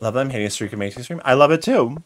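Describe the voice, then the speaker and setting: A man's voice: medium pitch, racing. A young man from the United States chatting on a live stream while gaming, telling stories and reading chat aloud from a small home room. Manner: chatty, animated storytelling, reads chat aloud. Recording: faint game music behind.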